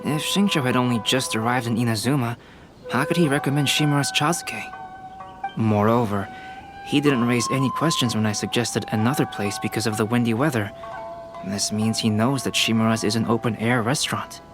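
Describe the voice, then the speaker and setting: Man doing a calm smart young alchemist guy, medium voice, measured and logical, game music in the back. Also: medium pitch, natural voice. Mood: deducing. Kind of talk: game voice acting. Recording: game cutscene with music underneath.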